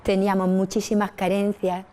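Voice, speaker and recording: A woman's voice: mid-range voice, completely flat delivery, normal speed, mellow. A woman, a neighbourhood resident talking in a documentary interview.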